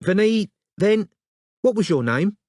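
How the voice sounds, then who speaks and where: A man's voice: sits high, very animated, takes it slowly, a little mellow, dry recording, studio quality, voice pushed up, exaggerated voice. A man doing a curt, belligerent London woman. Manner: curt, suspicious, blunt.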